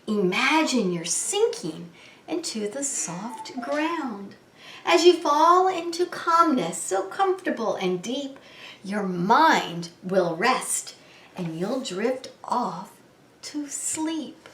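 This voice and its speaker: Kindly, weary female owl for a storybook, acted by a woman, lulling and very unhurried, roomy recording. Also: fairly high pitch, big pitch swings, fairly bright tone, slight background noise, full character voice. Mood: soothing.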